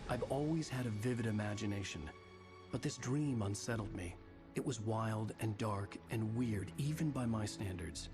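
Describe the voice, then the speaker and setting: An actor playing a brooding male novelist who narrates his own nightmare in a measured, grave, literary way for a video game. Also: fairly low pitch, music in the background, close to an everyday voice. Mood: unsettled, brooding. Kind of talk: scripted dramatic game narration.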